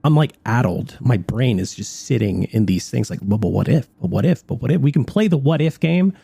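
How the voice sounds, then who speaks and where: A man's voice: medium pitch, expressive, a little fast, vocal fry, warm tone, breathy edge, no echo. A man co-hosting a radio show, explaining things in a conversational talk-show style.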